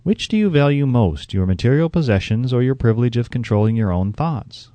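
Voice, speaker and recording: A man reading a self-help audiobook in a measured, instructive way, with carefully phrased scripted narration. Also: mid-range voice, lively intonation, warm tone, no room sound.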